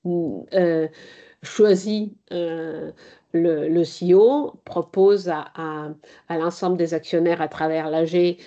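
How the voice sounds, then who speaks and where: A middle-aged woman speaks slowly and deliberately, pausing often as she explains. Her voice is low and mellow. The sound is a little dull and lo-fi. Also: fairly even intonation. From Italy. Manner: measured, hesitant with many pauses. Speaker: board chair and guest speaker. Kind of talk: conference talk.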